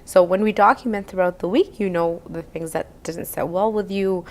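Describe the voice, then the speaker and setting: A woman's voice: lowish voice, fairly even intonation, normal speed. A woman counsellor from Canada talking in a casual, encouraging way and often checking in with "right?". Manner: warm encouraging listener, frequent "right?" tags. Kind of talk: casual conversation.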